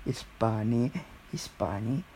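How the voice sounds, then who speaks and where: A man rambles casually at a slow pace in a put-on Filipino accent, with a jokey manner. His pitch is average, and light steady noise sits behind his voice. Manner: jokey, often breaking into giggles.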